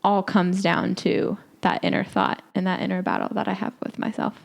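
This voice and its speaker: A young woman co-hosting a podcast, thinking out loud in a halting, reflective way. Her voice has a light gravelly fry at mid pitch, and she fills pauses with "like" as she works through her thoughts. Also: flat delivery. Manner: reflective, halting, many "like" fillers. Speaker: podcast co-host. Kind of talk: casual chat.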